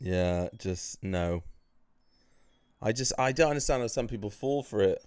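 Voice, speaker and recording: A man's voice: on the low side, animated, a little slow, darkish tone, smooth, no room sound, clean studio sound. A man co-hosting in a casual chat, with a blunt, sweary way of talking.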